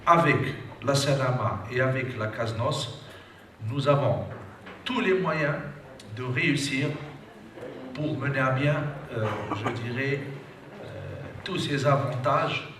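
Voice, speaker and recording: A man's voice: medium pitch, slow, a bit bright. Man, formal speech, hedging fillers, echoey room, distant mic, background noise.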